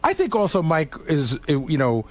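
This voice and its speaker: A man's voice: on the high side, wildly swooping pitch, moderate pace, darkish tone. A man on a radio show bantering casually and arguing his point. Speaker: radio show staff member.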